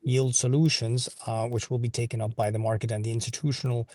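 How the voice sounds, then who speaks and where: A man from Switzerland answering on a live panel, talking in measured sentences and often hedging his points. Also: mid-range voice, flat delivery, warm tone. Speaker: business partner on a panel.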